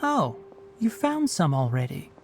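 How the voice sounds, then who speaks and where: A man's voice: fairly high pitch, huge pitch swings, a little slow, creaky, heavy background noise, heavily acted. A man playing a young, knowledgeable forest ranger in a video game, instructive and slightly wry. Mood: wry, explaining. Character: young male forest ranger, knowledgeable, dry, gently strict. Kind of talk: video game character acting.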